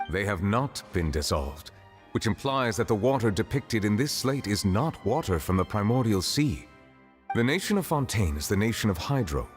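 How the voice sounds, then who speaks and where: An actor playing a solemn, composed man of authority in a game, explaining things formally and with measured care. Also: deep voice. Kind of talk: game story dialogue, formal exposition.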